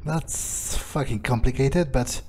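A man roleplaying a curious, impatient vampire newcomer in a video game, improvising in a halting, pondering way. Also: mid-range voice, a crowd in the background, natural voice. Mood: probing. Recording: faint music behind the voice.

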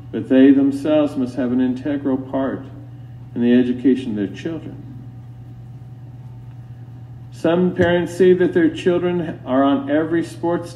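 Slow, solemn male priest preaching, distant and echoey. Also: medium pitch, dark tone. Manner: solemn, deliberate, admonishing, with long pauses. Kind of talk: sermon. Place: inside a church. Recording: roomy church, distant mic, dull, faint hum.

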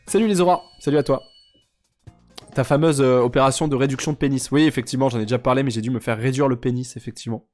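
A young man with a stuffy nose, chatting casually and joking deadpan with viewers while he streams a game. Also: medium pitch, fast, faint music behind. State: congested, sick with sinusitis. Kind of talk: casual live-stream chat while gaming.

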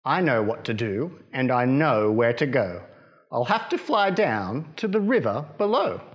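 A man's voice: medium pitch, sing-song, a little slow. A man voicing a fretful mother duck from a picture book in a worried, theatrical manner. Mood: resolved.

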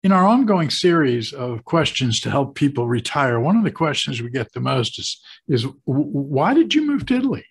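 Husky older American man explaining. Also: medium pitch, very animated, normal speed, hardly any pauses. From United States. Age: 60s. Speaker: host of a retirement advice channel. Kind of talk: explanatory talk to camera. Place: small home office, indoors. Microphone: webcam video call setup.

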